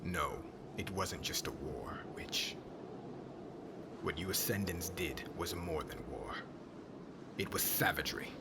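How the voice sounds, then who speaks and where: A man playing a stern, bitter witch hunter in an ASMR roleplay, cold and commanding, often half-whispering in a breathy voice. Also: deep voice, takes it slowly, pauses often, very noisy. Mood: bitter, accusing.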